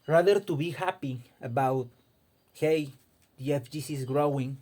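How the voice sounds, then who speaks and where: A man's voice: medium pitch, unhurried, darkish tone. A man from Mexico, giving an impassioned casual opinion monologue and often repeating words as he searches for them. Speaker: fighting game commentator.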